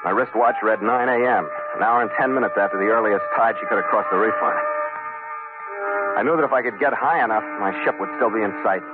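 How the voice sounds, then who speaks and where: A man plays a tough, weary ship captain with a low voice, terse and hard-boiled. It is 1940s radio drama acting, heard through a narrow, old broadcast with music behind it. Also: fast talker, close to an everyday voice. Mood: grim. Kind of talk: 1940s radio adventure acting, first-person narration.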